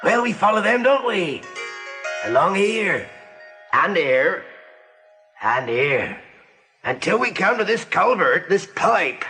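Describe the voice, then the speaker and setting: A man's voice: high voice, sing-song, slow, pauses often, pushed and bright, husky, soft background music, pitched above the performer's own voice, full character voice. An actor playing a sinister country man from a nightmare, taunting and folksy in manner, for a radio play. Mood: eerie coaxing. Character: sinister rustic farm man in a rabbit's nightmare.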